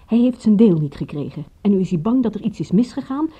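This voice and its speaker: A woman playing a detective's sceptical, dry-witted companion in a radio drama, with a husky voice and a teasing, matter-of-fact manner. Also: medium pitch, expressive, quick pace, mellow. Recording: dull, muffled old radio recording.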